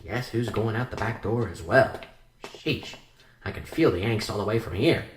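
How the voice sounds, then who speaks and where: An actor playing a flippant, jittery coroner in a radio drama, wisecracking and hesitant. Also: male voice, lowish voice, animated, moderate pace, slight background noise, lightly acted. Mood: jokey, uneasy. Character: flippant, jittery male coroner eager to leave. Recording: echoey room.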